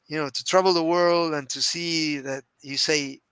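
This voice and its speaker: A man's voice: fairly high pitch, steady tone, normal speed, a bit bright, clean tone, no echo. A man in his forties from Argentina, with an Argentine Spanish accent, co-hosting a casual live stream over a video call headset and speaking in a heartfelt, rambling way. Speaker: co-host. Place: small home room.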